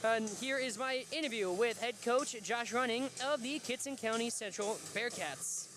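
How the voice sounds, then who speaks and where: Young male hockey announcer, very high voice, chatty, stumbles over numbers, music bed underneath. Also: normal speed. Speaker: play-by-play announcer. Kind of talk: local sports broadcast commentary.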